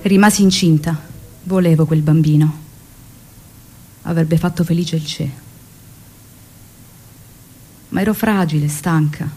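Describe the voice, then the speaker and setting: Actress as a low-voiced revolutionary woman remembering love. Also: normal speed, dark tone, soft background music, close to an everyday voice. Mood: wistful, wounded. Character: Aleida, revolutionary woman in love, recalling with aching tenderness. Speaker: stage actress. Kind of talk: dramatic first-person stage monologue.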